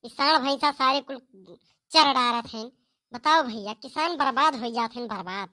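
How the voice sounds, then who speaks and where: Comic cartoon farm son, played by a woman: high-pitched, sharp, hapless, whining, Awadhi accent. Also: normal speed. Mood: complaining. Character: hapless young farm son, pleading and complaining. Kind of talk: amateur comic cartoon dialogue.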